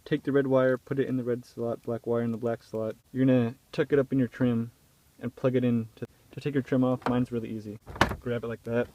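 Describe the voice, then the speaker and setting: A man's voice: mid-range voice, flat delivery, brisk, warm tone, highs cut off. A man gives casual, hands-on how-to instruction in an informal, offhand way, recorded in and around a car. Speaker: do-it-yourself video presenter. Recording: clearly noisy car, handling clicks.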